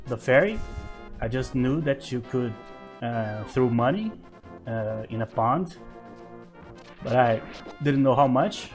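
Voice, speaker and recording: A man in his thirties from Brazil, speaking English with a Brazilian Portuguese accent, talks through a retro game in an easygoing way. He thinks aloud with lots of little fillers, at an ordinary middle pitch, with soft music playing faintly underneath. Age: 30s. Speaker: gaming channel host. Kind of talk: casual let's play commentary.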